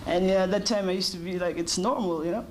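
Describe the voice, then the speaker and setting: A woman in her thirties answers interview questions in a measured, reflective way. Her voice sits fairly low and her pace is unhurried. A faint noise hums behind her. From Kenya. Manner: thoughtful, measured, with short pauses. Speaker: interview guest.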